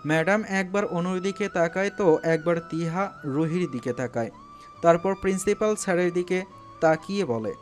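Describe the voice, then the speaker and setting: A man's voice: high-pitched, moderate pace, faint music behind. A man reading a scripted love story as a dramatic audio narrator.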